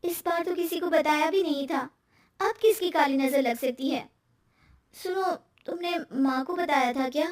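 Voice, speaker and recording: Brief: a woman playing a chatty young cartoon wife, cheerful but easily rattled, very high voice, slightly distant. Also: moderate pace, close to an everyday voice. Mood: upset. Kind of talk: cartoon moral story acting.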